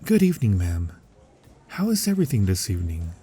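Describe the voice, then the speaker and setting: Mellow, fawning male restaurant manager played by a man. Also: sits low, wildly swooping pitch, a little fast, slightly rough, exaggerated voice. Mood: smooth, probing. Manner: formal, unctuous customer-service politeness. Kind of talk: ASMR roleplay. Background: music.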